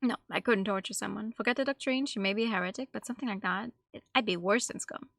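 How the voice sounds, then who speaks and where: A woman's voice: medium pitch, fast talker, creaky, a bit bright, no echo. A woman streaming a game, reading its text aloud and adding casual, playful, musing commentary. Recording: light music behind.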